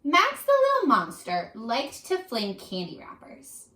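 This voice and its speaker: A woman reading aloud to children as a teacher, addressing them directly with questions in an animated, instructional way. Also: sits high, measured pace. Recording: roomy, echoing sound.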